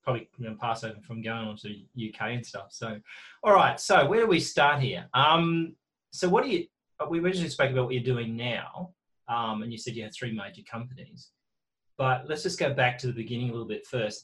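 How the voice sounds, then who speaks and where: A fast-talking man hosting a business podcast. He chats freely, laughs easily and often restarts his sentences mid-thought. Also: mid-range voice, fairly bright tone, rough recording. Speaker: podcast host. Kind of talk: conversational interview.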